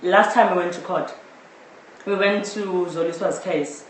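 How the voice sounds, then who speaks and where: A woman's voice: lowish voice, a little slow, far from the mic. A woman with a South African accent giving a personal account as a guest in a live interview. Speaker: interviewee, audio drama maker. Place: echoey indoor space, live-streamed discussion. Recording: very echoey room, faint noise.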